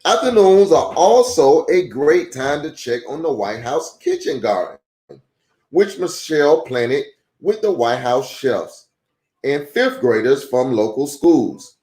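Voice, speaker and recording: A man's voice: medium pitch, animated, takes it slowly, mellow. Man reading a storybook aloud in echoey room. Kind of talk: read-aloud storytime with casual asides. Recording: echoey, roomy sound.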